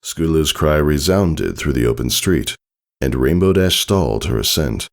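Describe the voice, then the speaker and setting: A man narrating a scripted story dramatically, in a solemn and measured manner. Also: very deep voice, lively intonation, gravelly. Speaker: fan fiction narrator. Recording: soft music behind the voice.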